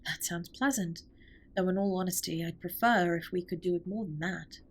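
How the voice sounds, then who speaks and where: A woman's voice: fairly low pitch, normal speed. A woman playing a formal, reserved but tender alien spaceship captain in a scripted comfort audio roleplay, speaking with precise, stilted politeness. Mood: earnest, shy.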